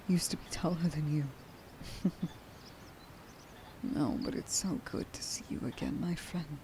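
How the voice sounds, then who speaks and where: A woman playing a tender, emotional young huntress in an ASMR roleplay, speaking softly and breathily and often dropping toward a whisper. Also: low voice, slight background noise. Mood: tender, relieved. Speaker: voice actor.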